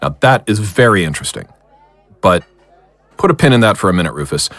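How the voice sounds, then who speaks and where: A man playing a serious, reassuring investigator of the occult in an audio drama, measured and authoritative. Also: deep voice, very animated, fairly bright tone, dry recording, exaggerated voice. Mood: serious, intrigued.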